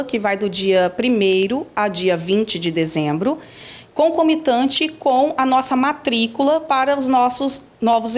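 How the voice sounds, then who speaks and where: A woman in her forties, director of a school enrollment office, answering a reporter's questions in a measured, explanatory way with frequent fillers, indoors on a handheld mic. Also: medium pitch. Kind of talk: news interview answers.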